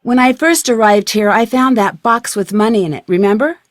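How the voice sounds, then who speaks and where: A woman playing a curious, confident young amateur detective in a video game, speaking in a clear, inquisitive, polite and self-assured way. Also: fairly high pitch, moderate pace, dry recording, clean studio sound, lightly acted. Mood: curious, thoughtful.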